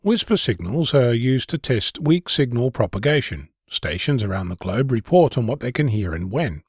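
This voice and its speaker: A man hosting an amateur radio program, reading scripted explanations in a measured, precise way. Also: medium pitch, big pitch swings, a little mellow, husky, no echo, studio quality. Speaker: amateur radio podcast host. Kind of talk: scripted narration.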